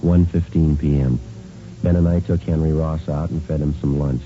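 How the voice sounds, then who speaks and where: An actor playing a terse, unflappable police sergeant in a vintage radio drama, speaking and narrating in a clipped, matter-of-fact deadpan. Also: male voice, rumbling low, moderate pace. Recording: muffled vintage radio recording, music behind.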